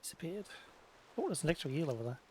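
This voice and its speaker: A man giving casual, unhurried and easygoing let's play commentary while gaming. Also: a little high, sing-song, a little mellow, a little hiss or hum. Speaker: game streamer doing a let's play.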